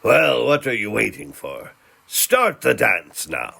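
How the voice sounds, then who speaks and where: A man voicing an evil fantasy king in a storybook tale, imperious, threatening and disdainful, with a gravelly voice. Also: medium pitch, very animated, slow, pushed and bright, slight background noise, pitched above the performer's own voice, heavily acted. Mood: commanding.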